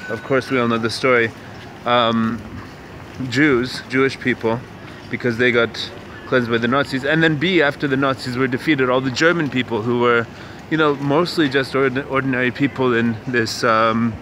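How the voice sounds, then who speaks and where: Young male vlog host from Canada, mid-pitch, rambling street monologue, clear city noise behind. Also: fairly even intonation, moderate pace, a bit bright. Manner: rambling, musing, many fillers and restarts. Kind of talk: casual walking vlog monologue.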